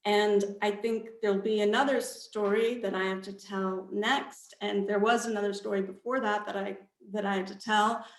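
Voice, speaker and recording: A woman author giving a casual, reflective panel answer, building her thoughts haltingly in short measured phrases. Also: medium pitch, flat delivery. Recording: echoey, roomy sound.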